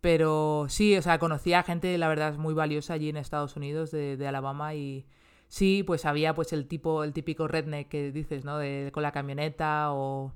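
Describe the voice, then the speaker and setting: A woman's voice: low voice, little pitch movement, normal speed, clean tone. A woman in her thirties with a Spanish accent, chatting casually as an interview guest and restarting her sentences often. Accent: Spain Spanish. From Spain. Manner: chatty, many restarts and fillers.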